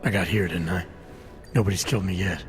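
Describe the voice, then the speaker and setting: An actor playing a stoic, defiant special agent in a video game, speaking in a terse, dry, controlled manner. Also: male voice, deep voice, fairly even intonation, quick pace, close to an everyday voice. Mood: determined. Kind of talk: cinematic video game acting. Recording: game soundtrack music behind.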